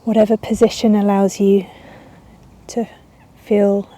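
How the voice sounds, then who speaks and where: Very mellow, slow-speaking woman guiding relaxation. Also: mid-range voice, level tone. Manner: soothing, unhurried, with long pauses. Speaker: yoga teacher. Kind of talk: guided yoga nidra relaxation. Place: outdoors in a garden. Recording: outdoor ambience with birdsong. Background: birds and garden ambience.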